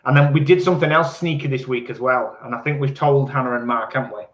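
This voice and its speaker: A man's voice: medium pitch, fast talker, a bit bright. A man in his forties co-hosting a live stream, teasing his partner in casual banter and reading out viewer comments. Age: 40s. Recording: echoey, slightly dull live stream sound.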